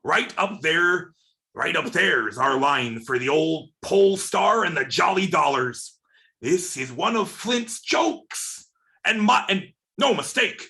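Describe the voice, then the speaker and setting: A wily pirate seaman in a radio play, high for a man and swinging widely in pitch. He blusters in salty dialect at an unhurried pace, with a bright, forceful edge. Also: lively intonation, exaggerated voice. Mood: scheming, grim.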